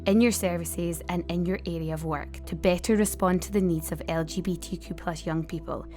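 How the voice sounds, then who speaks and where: A woman delivering scripted narration for a training film, in a measured informational style. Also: fairly low pitch, fairly even intonation, music in the background.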